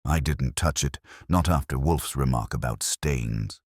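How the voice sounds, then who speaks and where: A man's voice: a bass voice, a little slow, slightly rough, dry recording, clean studio sound. A man narrating a noir detective story in a wry, hard-boiled way. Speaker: audiobook narrator. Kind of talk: scripted first-person noir detective narration.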